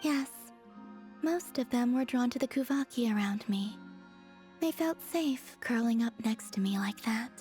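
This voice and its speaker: Woman voicing a gentle young goddess, high soft airy voice, composed and solemn, formal game dialogue, light music underneath. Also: a little slow, natural voice. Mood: tender, fond. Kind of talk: video game character dialogue.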